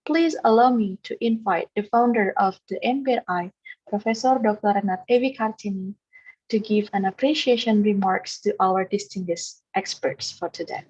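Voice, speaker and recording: A woman's voice: mid-range voice, normal speed, a little mellow, husky, muffled recording. A woman acting as master of ceremonies, reading formal, polite announcements. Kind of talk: scripted webinar emcee remarks.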